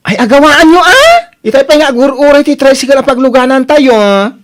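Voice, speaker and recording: A woman's voice: sits high, big pitch swings, fairly quick. Swooping, exclamatory Ilocano niece, acted by a woman. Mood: impatient, hurrying. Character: fussy, exasperated niece hurrying her relatives along. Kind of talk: Ilocano comedy radio drama acting.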